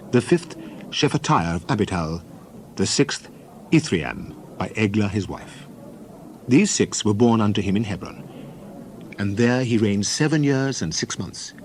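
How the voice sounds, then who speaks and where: A man narrating scripture in a measured, solemn, declamatory way, as in a dramatized Bible reading. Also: mid-range voice, darkish tone, a little hiss or hum.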